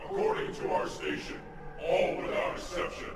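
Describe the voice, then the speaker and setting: An actor playing a loyal, fierce alien elite soldier in a video game, martial and emphatic. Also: male voice, moderate pace, lightly acted. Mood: fervent. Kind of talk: video game cutscene acting. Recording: distant, under loud vehicle noise.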